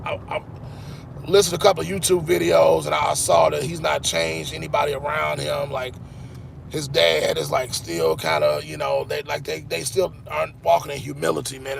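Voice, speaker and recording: Host sportivo sulla quarantina, afroamericano, voce gracchiante e cavernosa, rumore di traffico dietro. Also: male voice, fairly high pitch, expressive, brisk, creaky, a little hiss or hum. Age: 40s. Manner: rambling, repeats "man", restarts often. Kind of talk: casual live-stream chat with viewers.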